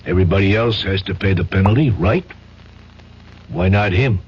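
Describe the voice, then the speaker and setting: Man playing a low-voiced streetwise American pal. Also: normal speed, lightly acted. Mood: persuasive. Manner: casual, wisecracking, slangy. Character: streetwise, slangy male pal, loyal and quietly menacing. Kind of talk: old-time radio drama acting. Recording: dull, faintly noisy old radio recording.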